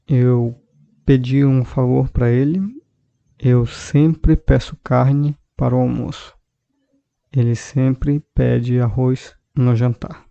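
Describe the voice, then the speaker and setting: A man with a Brazilian Portuguese accent, hosting a podcast language lesson and explaining verbs calmly and patiently, repeating example sentences. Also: medium pitch, dry recording, muffled recording. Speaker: podcast host and language teacher.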